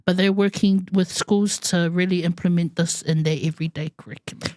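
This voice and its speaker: A woman's voice: on the low side, steady tone, brisk, a little mellow, dry recording. Laughing chatty New woman podcaster. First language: Samoan. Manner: chatty, laughing, colloquial asides. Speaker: podcast co-host. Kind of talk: casual podcast chat.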